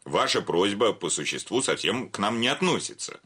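A flustered bank director, wildly swooping intonation. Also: male voice, lowish voice, very animated, moderate pace, bright, forceful tone, slightly rough, full character voice. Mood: bewildered. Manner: formal, emphatic, increasingly flustered. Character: polite, self-important male bank director driven to exasperation. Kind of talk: theatrical radio comedy acting.

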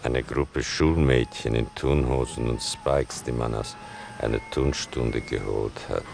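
A man playing a reflective son who narrates in a gravelly, measured, ironic way in a literary radio play. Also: a bass voice, darkish tone, a little hiss or hum, full character voice. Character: reflective writer son recounting his mother's story.

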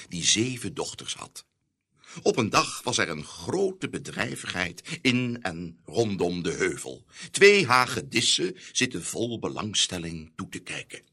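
A man narrating a fairy tale in an expressive, scripted storybook style. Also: low voice, vocal fry, slightly rough.

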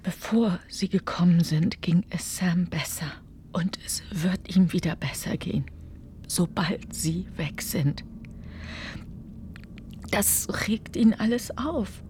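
A woman playing an anxious, guarded farmer's wife in a radio drama, speaking in a hushed, breathy, urgent way. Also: lowish voice, takes it slowly, in a whisper. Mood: guarded, resentful.

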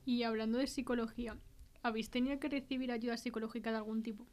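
A woman performs as a composed mystery podcast host with an accent from Spain. Her voice sits fairly high as she interviews guests. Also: level tone, fast, darkish tone, lightly acted. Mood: inquiring.

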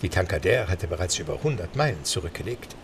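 A man's voice: deep voice, animated, quick pace, vocal fry, recorded from a distance, background noise. A man narrating a scripted adventure story in a dramatic storytelling style.

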